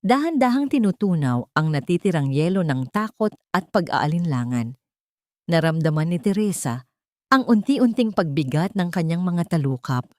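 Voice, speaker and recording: A Filipino woman tells a dramatic audio story in a fairly low voice. The recording is dry and close, with little room sound. She reads as a scripted storyteller. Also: darkish tone, no echo.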